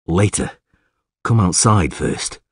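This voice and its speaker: A man voicing a terse, weary monster hunter with dry wit in an audiobook, speaking in a laconic, deadpan way. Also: deep voice, slow, breathy edge, no echo, heavily acted. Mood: curt. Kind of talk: audiobook character acting.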